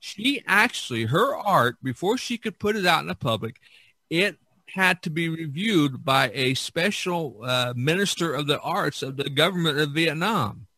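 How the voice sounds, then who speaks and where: A man's voice: fairly high pitch, moderate pace, no room sound. A middle-aged man telling stories casually as a podcast host, rambling and often repeating himself. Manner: rambling, repeats himself, animated when quoting others. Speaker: podcast host, visual artist. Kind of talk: casual storytelling in conversation.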